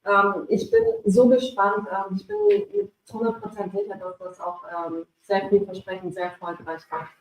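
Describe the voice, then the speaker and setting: A woman's voice: medium pitch, animated, normal speed, few pauses. A woman speaking enthusiastically at a live event with many fillers about voicing a children's story. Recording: echoey room, mic at a distance.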